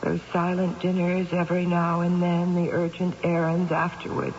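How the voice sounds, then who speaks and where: An actress playing an anguished, sleepless middle-aged wife with a wry bitter edge, in a dramatic, halting old-time radio style. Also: female voice, on the low side, completely flat delivery, a little mellow, close to an everyday voice. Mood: wounded, sardonic. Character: anguished, insomniac wife and mother, wryly bitter. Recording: muffled, noisy old radio recording.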